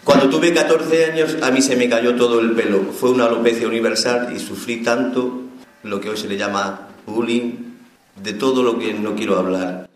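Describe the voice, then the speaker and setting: A man's voice: mid-range voice, steady tone, moderate pace, reverberant, a little hiss or hum, poor audio. Emotional man giving heartfelt testimony. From Spain. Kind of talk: personal testimony.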